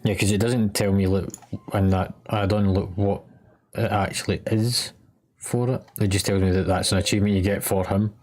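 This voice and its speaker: A man's voice: on the low side, fairly even intonation, moderate pace, a little mellow. A man talking casually and bluntly with teammates during an online game. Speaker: gaming teammate. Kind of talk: casual gaming chat.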